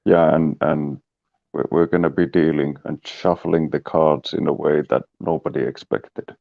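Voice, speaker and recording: Gravelly low-voiced man. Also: moderate pace, no echo, phone-quality sound. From Finland. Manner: animated, acts out quoted reactions. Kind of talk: casual online chat.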